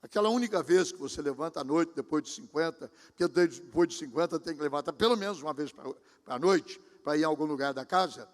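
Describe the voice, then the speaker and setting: A man's voice: a little high, fast talker. Man in his sixties preaching, echoing hall. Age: 60s. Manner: conversational, addresses the congregation directly. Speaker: pastor. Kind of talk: sermon. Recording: long hall reverb.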